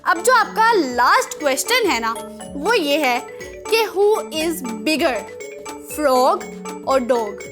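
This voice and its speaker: High-voiced Pakistani woman hosting for kids. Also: extremely slow, over music. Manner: animated, playful, chatty. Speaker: children's show host. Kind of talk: playful quiz and segment presenting.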